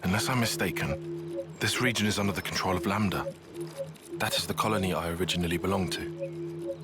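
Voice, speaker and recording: A man acting a cautious, brooding young soldier in a video game cutscene, soft-spoken, pensive and measured. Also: low-pitched, close to an everyday voice. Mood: measured, hesitant. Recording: music behind the voice.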